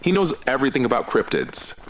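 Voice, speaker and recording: Audio drama sidekick: friendly man, average pitch, easygoing, earnest monster enthusiast, light outdoor background noise, actor-performed. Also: huge pitch swings, quick pace, a bit bright, rough recording. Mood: excited, playful. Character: friendly, earnest, cryptid-obsessed male running buddy. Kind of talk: conversational audio drama acting.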